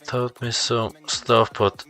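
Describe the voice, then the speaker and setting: A man's voice: mid-range voice, little pitch movement, takes it slowly, bright, forceful tone. A man chatting casually and telling anecdotes in a laid-back way, in short broken phrases.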